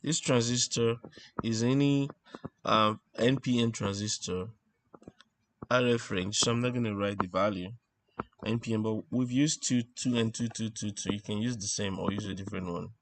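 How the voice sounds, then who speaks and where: A man giving an informal technical lesson as he draws, explaining step by step in a halting way and thinking aloud. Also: lowish voice, slight background noise. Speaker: instructor presenting an electronics tutorial. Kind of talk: informal technical lesson, talking while drawing.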